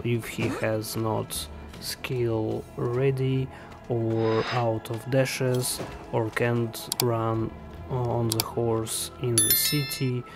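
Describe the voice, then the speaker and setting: A man narrating a casual gaming tutorial in a halting way, pausing mid-phrase. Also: medium pitch, gravelly. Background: background music.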